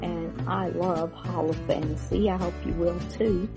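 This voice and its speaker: A woman hosting a podcast with casual chat, rambling and halting as she introduces the show. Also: fairly low pitch, fairly even intonation, gravelly. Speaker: podcast host. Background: guitar music.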